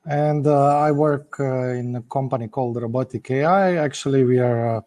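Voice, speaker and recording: Male tech panelist, warm dark voice, informal and halting. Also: mid-range voice. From Croatia. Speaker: panelist, tech company representative. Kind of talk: informal professional self-introduction.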